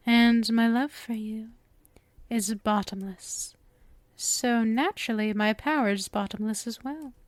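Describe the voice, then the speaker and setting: A woman's voice: high-pitched, dry recording. A woman playing a sweet, clingy, obsessive witch in an ASMR roleplay, cooing coyly to the listener and slipping into whispers. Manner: coy, cooing, breaks into whispers. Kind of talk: ASMR roleplay acting, intimate address to listener.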